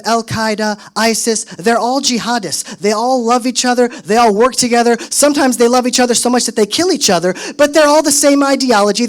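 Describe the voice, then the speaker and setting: A man in his thirties telling an animated story in a speech to an audience, acting out quotes. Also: extremely high pitch. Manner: animated, acts out quotes in raised voice. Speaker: speaker at a solidarity event.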